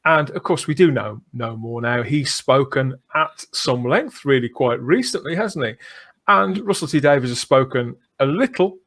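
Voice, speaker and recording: A man's voice: mid-range voice, expressive, normal speed, fairly bright tone. A man hosting a podcast, talking in a conversational, discursive way and often ending on tag questions. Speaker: podcast host.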